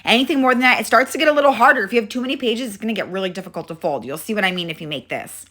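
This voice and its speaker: A young woman hosting a casual craft tutorial, chatty and step-by-step, her voice congested and a little hoarse. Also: a little high, rapid-fire, a bit bright. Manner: chatty, friendly, step-by-step instructions with frequent "okay?". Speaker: craft channel host.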